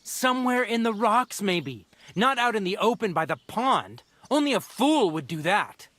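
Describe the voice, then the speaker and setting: A man's voice: high-pitched, big pitch swings, moderate pace, a bit bright, dry recording, exaggerated voice. An actor playing a scornful, mocking local man in a video game, smug and derisive. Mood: dismissive. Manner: sneering, derisive. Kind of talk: dramatic video game dialogue.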